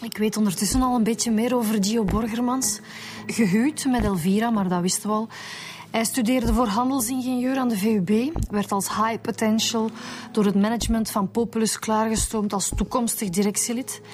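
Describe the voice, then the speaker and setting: An actress playing a diligent, businesslike female detective in a radio drama, reporting in factual, measured Flemish Dutch. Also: fairly high pitch, steady tone, a little mellow, lightly acted. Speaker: police detective. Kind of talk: naturalistic radio drama dialogue.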